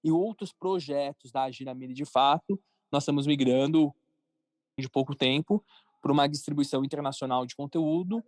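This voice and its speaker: A Brazilian man speaks as a podcast guest in a fairly high register. He explains things informally and conversationally, repeating words for emphasis. The sound is close and dry, with no room around it. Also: normal speed, no room sound. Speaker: podcast guest, cartoon creator and entrepreneur. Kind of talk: conversational interview answers.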